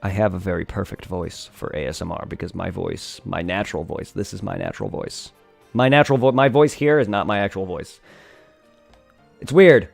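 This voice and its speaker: A man's voice: sits low, normal speed, creaky, soft background music. A young man chatting casually on a live game stream, rambling and thanking his viewers. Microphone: desk condenser microphone.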